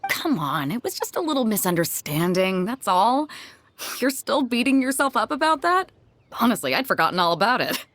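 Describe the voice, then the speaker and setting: A woman's voice: fairly high pitch, sing-song, normal speed, fairly bright tone, slight background noise. A woman playing a hearty, easygoing female ship captain, casual and good-humoured, in a video game cutscene. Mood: teasing.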